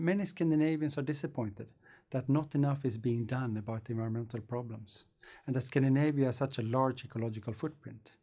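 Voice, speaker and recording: A man, presenting a scripted lecture in a studio in a measured, thoughtful way. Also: medium pitch, a little mellow. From Sweden. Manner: measured, thoughtful explaining with hand gestures. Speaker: course lecturer. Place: studio against a green screen.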